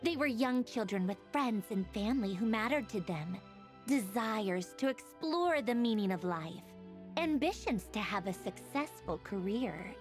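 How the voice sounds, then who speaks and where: A woman's voice: mid-range voice, lively intonation, a little slow, faint music behind, lightly acted. An actress playing a haughty, witty woman inventor in a video game, guarded yet wistful, speaking in a wry, articulate way. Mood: reflective, somber. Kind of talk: scripted video game story dialogue.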